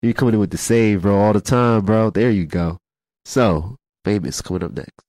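A congested man hosting a casual podcast chat, halting, repeating words and filling gaps with fillers. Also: fairly low pitch, darkish tone, no echo. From Philadelphia. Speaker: podcast host. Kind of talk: casual radio-style chat.

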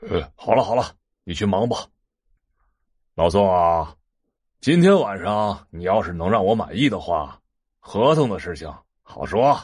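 A man playing sleazy, self-important businessmen in an audio drama, with a husky, oily drawl. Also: sits low, very animated, measured pace, pauses often, a little mellow, full character voice. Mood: casual, scheming. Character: sleazy, self-important male businessmen striking a shady deal. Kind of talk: Chinese audio drama dialogue.

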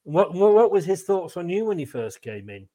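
A man's voice: high voice, sing-song, normal speed, mellow. An English man interviewing a former footballer, asking long, rambling, friendly questions. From England. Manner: chatty, rambling questions with light laughs. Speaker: interviewer, host. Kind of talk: conversational interview.

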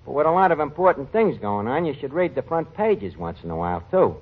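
An actor playing a streetwise New York tavern manager in 1940s radio comedy, bossy but patient, explaining things in mock-learned, ungrammatical talk. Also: male voice, a little high, big pitch swings, brisk. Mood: scolding, wry. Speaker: lead actor of a radio sitcom. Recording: dull lo-fi 1940s radio recording.

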